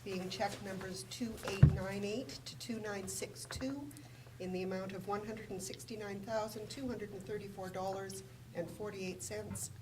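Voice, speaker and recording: A woman administrator reading formal council resolutions and figures aloud in a measured way in a council chamber. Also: medium pitch, steady tone. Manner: measured, reads figures carefully. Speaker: municipal administrator. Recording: distant mic with room noise.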